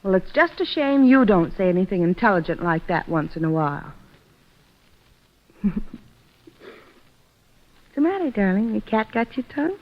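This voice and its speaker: A pert female sidekick in a vintage radio mystery. She teases and scolds with lively melody in a medium-pitched voice. The old recording is slightly muffled. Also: measured pace, long pauses. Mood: teasing. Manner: teasing, scolding. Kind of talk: 1940s-50s radio drama acting. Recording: dull vintage radio, faint noise.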